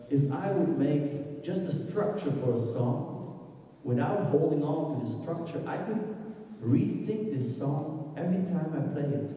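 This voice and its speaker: A man in his thirties from Belgium talks to an audience in accented English, stumbling and restarting as he searches for words. His voice is dark and mellow. It rings through a large reverberant hall, picked up from a distance. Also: mid-range voice. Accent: Belgian Dutch. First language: Dutch. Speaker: musician giving a talk. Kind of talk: presentation talk on stage. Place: large dark auditorium stage. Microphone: handheld microphone. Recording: echoey hall, recorded from afar.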